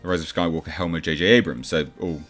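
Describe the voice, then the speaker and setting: A man's voice: low-pitched, fairly even intonation, quick pace, a bit bright. A man hosting casual movie news commentary, opinionated and blunt, with sardonic asides. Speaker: host of a movie news channel. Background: background music.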